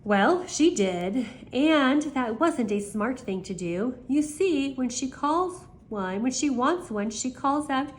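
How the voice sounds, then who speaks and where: A woman's voice: high-pitched, lively intonation, slow pace, few pauses. An older woman, a grandmother reading children's stories aloud expressively, with chatty asides to her young listeners.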